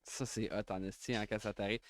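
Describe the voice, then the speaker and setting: A man with a Quebec French accent hosting a casual livestream from home, excitable and quick to exclaim and joke. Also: on the low side, no room sound, studio quality. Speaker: livestream host.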